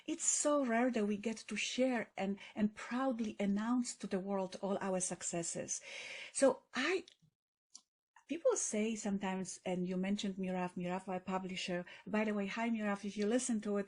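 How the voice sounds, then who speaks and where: A woman's voice: mid-range voice, darkish tone. A middle-aged woman from Poland with a Polish accent, telling her story enthusiastically as a podcast guest. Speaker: guest, coach and author. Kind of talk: podcast interview.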